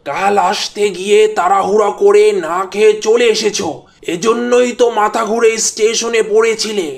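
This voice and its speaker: A man's voice: high voice, level tone, normal speed, creaky. A man voicing a stern, hot-tempered village father in a cartoon, talking in a brusque, knowing way. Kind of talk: Bengali cartoon voice acting.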